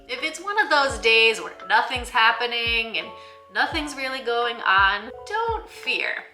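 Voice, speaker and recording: Bright, higher-pitched woman presenting to viewers. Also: echoey room. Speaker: host of a picture book channel for teachers. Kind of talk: scripted-from-notes book review vlog addressed to viewers.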